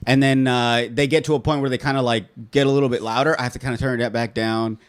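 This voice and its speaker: A young adult male podcast host talks in a loose, rambling way and apologizes often. His voice sits in an ordinary middle pitch for a man. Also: steady tone, quick pace, clear, resonant tone. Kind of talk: casual podcast chat.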